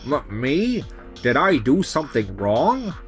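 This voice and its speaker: Man playing a stammering, flustered young male nurse, wildly expressive. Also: fairly high pitch, a little slow, faint music behind. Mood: flustered, defensive. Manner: stammering, anxious. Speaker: voice actor in a manga dub. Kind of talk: animated romantic-comedy dub acting.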